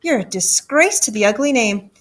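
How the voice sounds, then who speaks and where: A woman's voice: a little high, animated, moderate pace. A woman voicing a scornful, bossy ugly mother in a children's storybook, haughty and scolding.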